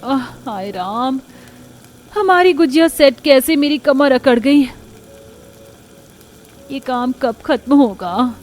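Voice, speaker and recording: A woman's voice: high voice, animated, takes it slowly, long pauses, darkish tone. A woman voicing a put-upon young daughter-in-law who complains in a cartoon family story. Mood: complaining. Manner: complaining, whiny. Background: music.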